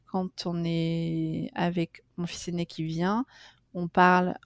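A woman's voice: lowish voice, flat delivery, slow pace, dry recording, studio quality. A middle-aged woman speaking casually in an interview, chatty and hesitant, with many fillers and restarts. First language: French. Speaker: interview guest.

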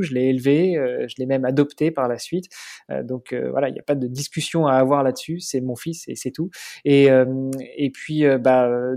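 A man in his forties, a podcast guest chatting casually in an interview, pausing often with fillers and restarts. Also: medium pitch, vocal fry, a little mellow. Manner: hesitant, many fillers and restarts.

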